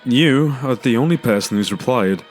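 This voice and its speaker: A man's voice: mid-range voice, animated, moderate pace, close to an everyday voice. An actor playing an earnest, self-doubting father with a hesitant, stammering way of talking in an audio drama. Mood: sincere, reassuring. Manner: hesitant, stammering, repeats words. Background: music.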